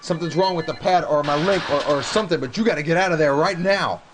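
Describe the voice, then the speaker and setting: An actor playing a frank, harried military hacker in a video game, talking in an informal, slangy way. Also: male voice, on the high side, fast talker, over music, natural voice. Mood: alarmed. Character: frank, harried male military hacker running rebel teleport pads. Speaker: radio communications and teleport operator. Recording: noisy game audio with clicks.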